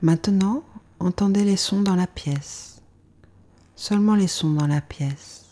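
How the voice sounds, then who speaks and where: A woman leading a guided meditation as a yoga instructor, speaking in a calm, soothing way with soft phrase endings. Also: medium pitch, measured pace.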